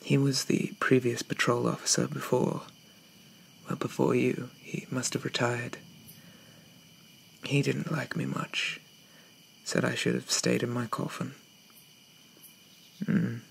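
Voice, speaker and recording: A man playing a shy, gentle, polite Victorian zombie in a soft-spoken ASMR roleplay, stammering and hesitant with old-fashioned courtesy. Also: medium pitch, flat delivery, takes it slowly, creaky, long pauses, slight background noise. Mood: shy, wistful.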